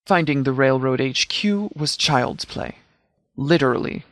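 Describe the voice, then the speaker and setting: Game role: male actor as a composed department commander, dry and precise, mid-pitched male voice, close dry sound. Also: no echo. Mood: dry, assured. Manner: measured, formal, precise, deadpan. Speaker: game character, law officer. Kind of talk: video game dialogue voice lines.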